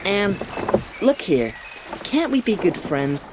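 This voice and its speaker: Proud schoolboy, plain-spoken, lively pitch swings, noisy river backdrop, audiobook drama. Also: male voice, takes it slowly, exaggerated voice. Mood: apologetic. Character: contrite, proud teenage schoolboy. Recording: watery outdoor effects, noisy backdrop.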